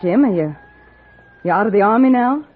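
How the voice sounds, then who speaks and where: An actress playing an anxious young sweetheart in a radio western, earnest and faltering. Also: female voice, mid-range voice, lively intonation, normal speed, natural voice. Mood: anxious, pleading. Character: anxious, hesitant young sweetheart of an outlaw soldier. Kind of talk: 1950s radio western acting. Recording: tinny old radio recording.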